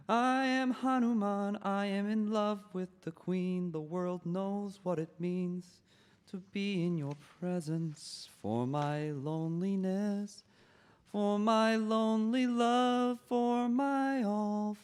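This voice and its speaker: A man reading the part of a lovesick monkey king at a play's table read, slowly chanting and singing his verses. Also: high-pitched, darkish tone, clear, resonant tone. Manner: slow, chanting sung refrains. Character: lovesick monkey king, male adult, brooding. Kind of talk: table read of a stage play, with sung verses.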